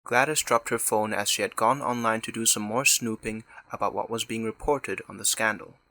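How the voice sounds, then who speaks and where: A man's voice: on the low side, fairly even intonation, normal speed, pushed and bright, no echo. A man narrating a scripted audio drama in the third person.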